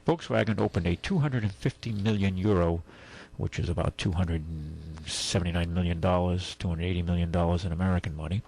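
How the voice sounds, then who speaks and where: Male radio host, deep and gravelly, husky tone, rambling with lots of fillers. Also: steady tone, brisk, a little mellow, rough recording. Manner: rambling, many fillers and restarts. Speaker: radio car show host. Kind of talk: casual radio talk.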